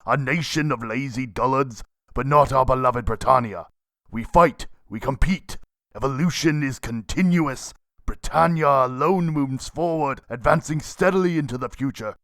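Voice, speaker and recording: A man performs a proud anime emperor addressing his nation. The delivery is pompous, commanding oratory in a middle pitch, recorded close and dry. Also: fairly bright tone, dry recording, close to an everyday voice. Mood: triumphant.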